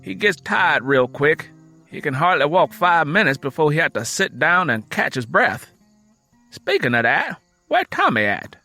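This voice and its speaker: A man's voice: on the high side, big pitch swings, a little slow, pushed and bright, faint music behind, exaggerated voice. A man voicing an aging, weary Southern mother-in-law in an audiobook, with a rural Southern American accent. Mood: concerned, weary.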